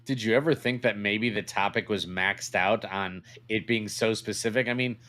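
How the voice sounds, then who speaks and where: A man co-hosting a podcast, speaking casually in a dry, skeptical way with halting searches for words. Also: on the low side, bright, forceful tone. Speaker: podcast co-host. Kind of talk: casual chat.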